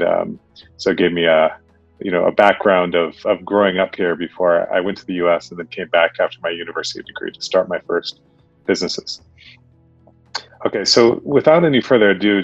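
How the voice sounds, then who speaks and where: A man with a very low voice gives a casual, halting webinar talk, fillers scattered through his sentences. The sound is dull and dry, as video-call audio often is. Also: vocal fry, dry recording. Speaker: guest speaker, tech entrepreneur. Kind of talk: webinar presentation.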